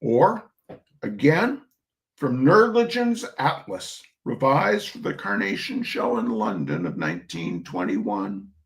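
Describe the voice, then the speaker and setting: A man's voice: medium pitch, very animated, slow pace. A man hosting a reading of humorous essays, theatrical and emphatic, with mock indignation and chatty asides.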